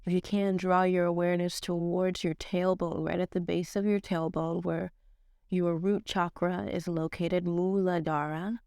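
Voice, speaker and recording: A woman with a stuffed-up, slightly nasal voice, leading a slow guided yoga class in a casual, slangy way. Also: lowish voice, vocal fry, no echo, clean studio sound. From Nigerian-American. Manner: casual slangy teacher, slow calm cues. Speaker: yoga teacher.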